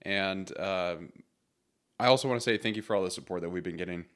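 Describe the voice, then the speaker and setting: A man's voice: deep voice, fairly quick, fairly bright tone, clear, resonant tone. A man hosting his solo motivational podcast in a casual, rambling way, his voice congested from a cold. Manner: casual, rambling with fillers and restarts. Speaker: podcast host.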